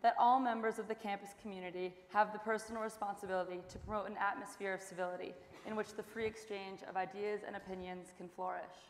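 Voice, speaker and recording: A woman's voice: mid-range voice, steady tone, fast, hardly any pauses. A female student performer declaiming a scripted readers theater piece. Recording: echoey hall, faint noise.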